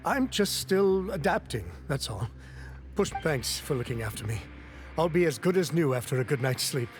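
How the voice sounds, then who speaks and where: A man's voice: on the high side, animated, normal speed, close to an everyday voice. A man voicing an anxious, apologetic worker in a video game, with a nervous, imploring way of talking over background music. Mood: apologetic, weary.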